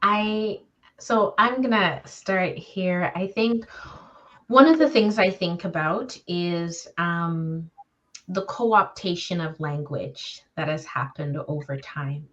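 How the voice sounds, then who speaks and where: A woman speaking as a panelist in a reflective discussion, with a thoughtful, articulate and deliberate manner. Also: fairly low pitch, a bit bright, lo-fi sound.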